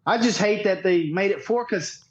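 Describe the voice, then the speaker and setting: High-voiced man, casual and sweary. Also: normal speed. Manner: sweary, slangy, unpolished. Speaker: podcast guest, cornhole player. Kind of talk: casual chat, storytelling.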